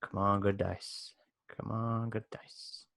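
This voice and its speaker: A man's voice: lowish voice, level tone, slow pace, creaky, fairly bright tone, no echo. A man playing a tabletop roleplaying game, chatting casually with the group.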